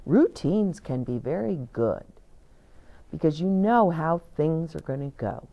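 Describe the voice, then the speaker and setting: An older woman hosts a children's storytime with a slightly low voice. Her delivery is very unhurried and lively in melody, spelling things out simply for young listeners. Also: muffled recording. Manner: deliberate, simple explanations as to small children. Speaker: children's storytime reader and host. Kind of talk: expressive picture-book read-aloud with asides to young children. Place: indoor bookshop-like set with shelves, seated in armchair.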